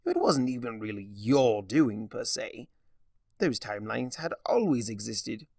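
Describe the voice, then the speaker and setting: A man's voice: mid-range voice, animated, measured pace, creaky, a little mellow, husky, no room sound, studio quality, recorded from a distance, full character voice. A man voicing a theatrical, swooping mischief-maker. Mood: breezy musing. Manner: drawling, grandly teasing, self-amused. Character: Discord, theatrical gleefully mischievous chaos creature villain. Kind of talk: dramatic fan fiction reading.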